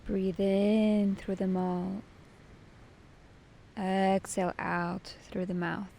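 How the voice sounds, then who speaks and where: A woman leading a guided meditation with gentle, soothing, unhurried instruction. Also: mid-range voice, level tone, vocal fry, slight background noise.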